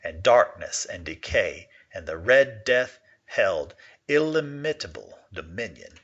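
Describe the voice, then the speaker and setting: Husky man reading a gothic tale. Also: fairly low pitch, unhurried. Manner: expressive, sweeping storyteller delivery. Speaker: reader. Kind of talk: dramatic reading of a classic story. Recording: dull lo-fi recording.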